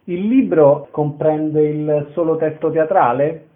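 A man's voice: fairly high pitch, steady tone, slow. A man working as an interviewer, speaking briefly and matter-of-factly through a narrow telephone-like sound.

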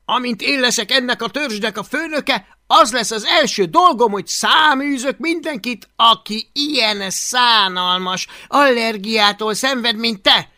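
A reader voicing a teenage Viking bully who jeers, shouts and keeps cackling with laughter in a storybook reading. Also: few pauses, lightly acted. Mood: spiteful. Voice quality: high and shouting. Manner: loud cackling jeering laughter.

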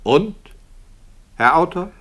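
Excitable, lively radio director. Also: male voice, fairly high pitch, slow pace, exaggerated voice. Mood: impatient. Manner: excitable, questioning. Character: agitated, baffled male radio play director. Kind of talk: radio play dialogue.

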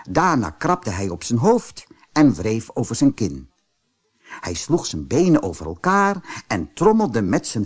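A man reading a children's fairy tale as an audiobook narrator, in an expressive storytelling style. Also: medium pitch, breathy edge.

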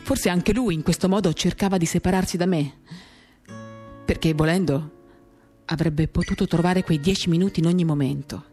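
Lower-pitched woman reading over guitar. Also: moderate pace, warm tone. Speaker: reader of a novel for radio theatre. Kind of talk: dramatic literary reading, first-person confiding narration. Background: acoustic guitar music.

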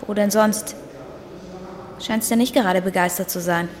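A woman acting a demanding, sharp-tongued young girlfriend in an audio drama, direct and cutting. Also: mid-range voice, steady tone, moderate pace, lightly acted. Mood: coaxing, wary. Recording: music bed behind dialogue.

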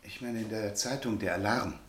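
An actor playing a polite, flustered scholar in a German radio play, speaking in a formal, halting way. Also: male voice, on the low side, a little hiss or hum, lightly acted. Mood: polite, hesitant. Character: polite, flustered, hesitant male scholar visiting a newspaper office.

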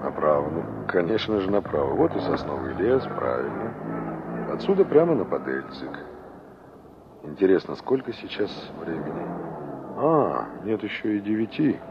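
An actor playing a wistful young engineer in an old Soviet radio play, musing to himself and polite with strangers. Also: male voice, low voice, sing-song, normal speed. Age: young adult. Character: young male engineer revisiting a remembered village, wistful. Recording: noisy, dull old radio play recording.

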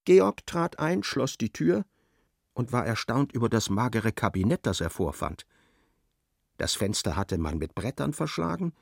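A man's voice: mid-range voice, very animated, a little slow, vocal fry, no echo, studio quality, full character voice. A man narrating a literary radio play in a precise, wry, dramatic storytelling manner.

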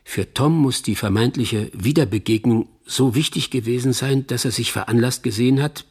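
An actor playing a measured, sceptical middle-aged Hollywood agent who narrates a radio drama, choosing his words deliberately. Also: mid-range voice, creaky, darkish tone, husky. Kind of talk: reflective first-person radio drama narration.

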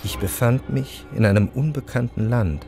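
An actor playing a reflective, refined writer narrating his memories in a measured, literary radio drama manner. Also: mid-range voice, vocal fry, natural voice. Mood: contemplative. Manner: measured, contemplative. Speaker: first-person narrator. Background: music behind the voice.

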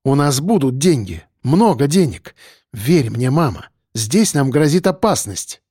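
A man's voice: mid-range voice, sing-song, warm tone, no room sound, studio quality. A man playing a harried grown son in an audiobook story, insistent and impatient with his mother. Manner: hurried, breathy exhaling, insistent.

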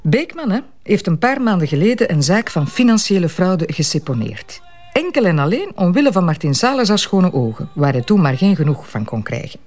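An actress playing a detective's teasing, self-assured wife in a radio drama, with playful, colloquial Flemish speech. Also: female voice, fairly low pitch, sing-song, brisk, darkish tone, faint music behind. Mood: teasing, revealing. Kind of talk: naturalistic radio drama dialogue.

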